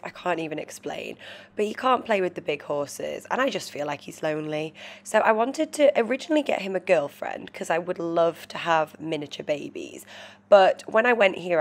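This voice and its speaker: A woman's voice: on the low side, normal speed. A British woman in her twenties with a nasal, cold-congested voice, giving a chatty, gushing vlog voiceover. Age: 20s. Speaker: vlogger. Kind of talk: casual voiceover narration.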